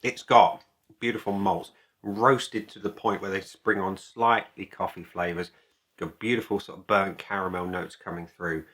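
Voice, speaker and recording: A man giving a casual product review to camera as a video host, speaking in a halting, deliberate way and repeating phrases. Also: sits low, sharp tone.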